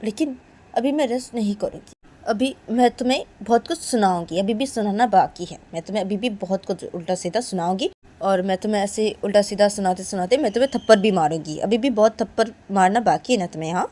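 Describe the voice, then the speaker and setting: Woman doing a bossy young woman, scolding, quick, hushed. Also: mid-range voice, close to an everyday voice. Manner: scolding, rapid insults.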